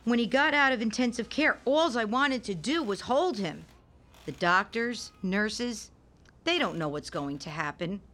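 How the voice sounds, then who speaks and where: An actress playing an anxious, weary, gentle mother of a premature newborn in a radio play, speaking earnestly and hesitantly. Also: a little high, animated, unhurried. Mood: worried, stressed.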